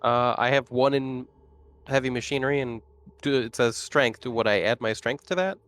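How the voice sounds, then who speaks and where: A man's voice: mid-range voice, moderate pace, fairly bright tone. A man playing a tabletop roleplaying game, chatting casually and thinking aloud as he goes. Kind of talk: casual chat.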